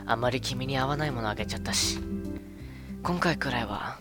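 A man acting a mild-mannered, smoothly deceitful young husband in an amateur radio drama, talking in an apologetic, domestic way. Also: medium pitch, fairly quick, natural voice. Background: music.

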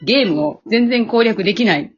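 A woman's voice: medium pitch, expressive, fairly quick, lo-fi sound, a little hiss or hum. A woman in casual, unscripted chat as a cast member. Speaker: cast member chatting after the drama.

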